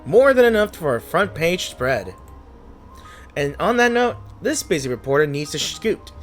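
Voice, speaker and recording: A woman voicing a perky, brisk young news reporter in a video game, breezy and upbeat. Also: sits low, very animated, soft background music. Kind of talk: scripted game character dialogue.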